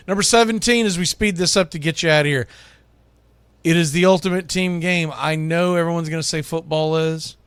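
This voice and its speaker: Guy doing sports radio, kinda high voice, dry studio-like sound, chatty but opinionated and punchy. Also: a bit bright, dry recording. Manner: emphatic, opinionated, conversational. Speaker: sports radio host, baseball play-by-play announcer. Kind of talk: informal sports monologue counting down a list.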